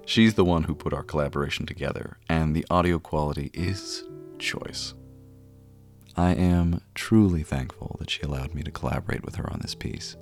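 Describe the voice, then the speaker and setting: A man hosting a podcast, giving measured introductions and interview questions. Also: very deep voice, soft background music. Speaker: podcast host.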